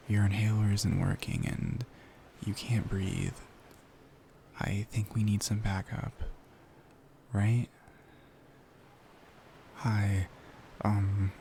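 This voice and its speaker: Guy playing a caring boyfriend in asmr roleplay, real slow and soothing, gravelly soft voice. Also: lowish voice, slight background noise, heavily acted. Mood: urgent, worried. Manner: soft, breathy, soothing, often near whisper. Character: caring, worried young boyfriend comforting his partner.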